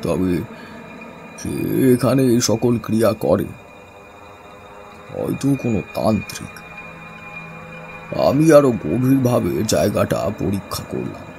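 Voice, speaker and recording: A man acts out a wary, inquisitive male investigator telling an eerie tale in the first person. The voice is low and gravelly, paced slowly with dramatic pauses. The narration is suspenseful, in the manner of a horror audio drama. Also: sing-song, dark tone, faint music behind, exaggerated voice. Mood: puzzled, tense.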